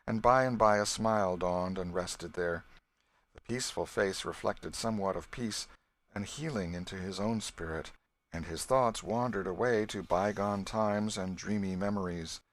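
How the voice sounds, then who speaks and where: A man narrating a classic novel as an audiobook in a measured storytelling manner. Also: fairly low pitch. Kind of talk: scripted literary narration.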